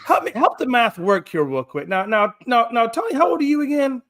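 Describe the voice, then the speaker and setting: A man in his fifties with a high, bright, forceful voice, talking sports on a casual show. He teases his co-hosts and rambles, circling back with repeated words. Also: sing-song, brisk. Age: 50s. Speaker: co-host.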